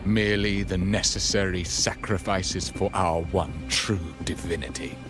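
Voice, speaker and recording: An actor playing a fanatical, gloating cult villain in a video game, with a pompous, ominous, theatrical delivery. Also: male voice, deep voice, steady tone, measured pace, over music. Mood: menacing.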